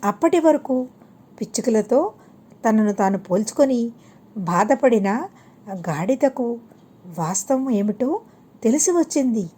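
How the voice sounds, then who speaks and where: A woman narrates a children's moral tale. Her voice is fairly high and soft-edged, with a mellow tone. She goes very slowly, setting out the story phrase by measured phrase. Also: expressive, breathy edge.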